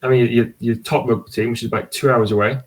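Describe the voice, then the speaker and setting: A man talks as a podcast guest in an easy, enthusiastic ramble. His voice sits at an ordinary middle pitch, and he often stops and restarts his sentences. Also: steady tone, quick pace. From Cardiff, Wales. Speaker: podcast guest and sports podcast host. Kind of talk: casual podcast conversation.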